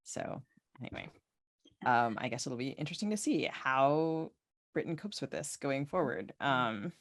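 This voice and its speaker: A woman with a low voice chats as a podcast host. She muses aloud in a tentative, reflective style, feeling her way toward each thought. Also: expressive, moderate pace. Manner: tentative, musing. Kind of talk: reflective casual chat.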